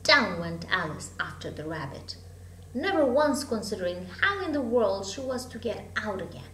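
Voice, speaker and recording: A woman in her thirties reads a children's story expressively, with dramatic, deliberate phrasing, in a room at home. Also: medium pitch, sing-song, fairly bright tone. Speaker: storybook reader. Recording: echoey room, hum and background noise.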